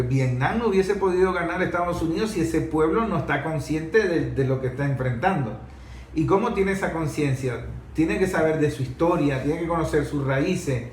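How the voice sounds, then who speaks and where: A Venezuelan man giving unscripted political commentary in an emphatic, colloquial way, explaining through rhetorical questions. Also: a little high. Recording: echoey room with faint noise.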